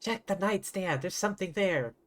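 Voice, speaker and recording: A woman's voice: mid-range voice, lively intonation, moderate pace. A woman livestreaming a game with casual, dry and irreverent commentary.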